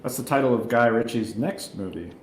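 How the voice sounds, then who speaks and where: A man's voice: medium pitch, animated, brisk. A man chatting casually as a panelist on a film review, joking dryly. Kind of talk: casual chat. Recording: roomy echo, faint background noise.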